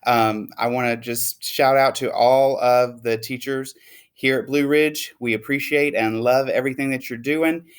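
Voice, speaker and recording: A man who works as a teacher, reading a children's story aloud in an expressive, emphatic way. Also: mid-range voice, measured pace, clean tone. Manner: animated, emphatic.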